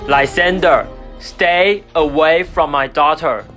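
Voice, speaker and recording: Radio play role: amateur performer as an obstinate, domineering dad, indignant, mid-pitched, light music bed. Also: slow, natural voice. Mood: angry, commanding. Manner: commanding, indignant. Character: stern, stubborn father demanding obedience. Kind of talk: amateur radio drama acting.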